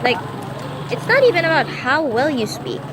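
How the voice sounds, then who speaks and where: A woman acts as a skeptical female classmate in a radio drama. Her high voice is informal and questioning, with blunt casual phrasing. Clear outdoor city noise and vehicles run behind her. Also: sing-song, moderate pace, a crowd in the background. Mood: coming round. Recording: noisy street ambience with vehicles. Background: outdoor urban noise, vehicles.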